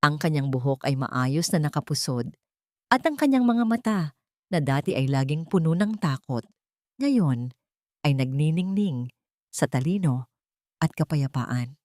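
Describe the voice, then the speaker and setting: A woman's voice: sits low, lively intonation, a little slow, pauses often, a little mellow, no echo, clean studio sound. A woman with a Filipino accent reading a scripted dramatic story as narrator.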